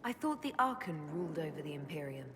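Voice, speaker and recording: Low-pitched, inquisitive adventurer. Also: female voice, sing-song, fast. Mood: curious. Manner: direct, probing, composed. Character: inquisitive, frank adventurer woman, questioning a companion. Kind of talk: video game dialogue acting. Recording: reverberant hall with ambient noise.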